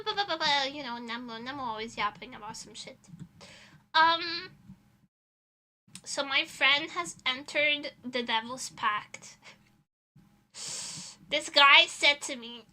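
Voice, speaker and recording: High, very bright young-sounding woman streamer. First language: Portuguese. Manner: playful, rambling, animated asides. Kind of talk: casual livestream chat.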